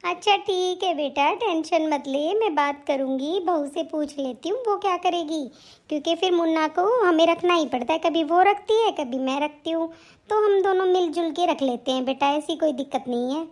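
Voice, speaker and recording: Cartoon role: elderly mother-in-law played by a woman, extremely high voice, meek, aggrieved, placating. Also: moderate pace, a little mellow. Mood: gentle, reassuring. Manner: plaintive, complaining, placating. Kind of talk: Hindi family cartoon drama dialogue.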